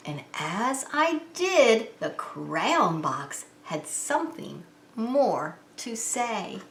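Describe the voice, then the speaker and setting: Woman, preschool teacher, children's read-aloud, very lively intonation, simple expressive explanations, echoey room. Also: fairly high pitch, very slow, slight background noise. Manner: expressive, simplified talk addressed to young children. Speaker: preschool teacher and story time host. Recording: roomy, echoing indoor sound.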